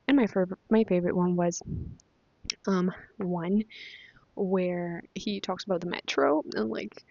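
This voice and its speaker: A woman's voice: mid-range voice, dark tone, no echo, dull audio. A young woman whose first language is Spanish, chatting casually about books she has read, with many fillers and restarts. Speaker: vlogger. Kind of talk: casual book review chat.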